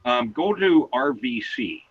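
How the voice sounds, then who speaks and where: A man with a loud voice, co-hosting an online talk show and patiently explaining things step by step. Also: mid-range voice, animated, slow, slightly rough, no room sound, dull audio. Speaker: co-host explaining seismograms.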